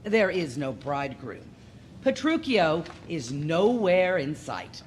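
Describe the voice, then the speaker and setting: A woman's voice: sits low, sing-song, slow pace, slight background noise. A woman narrating an audio play as a storyteller, wry and with dramatic emphasis.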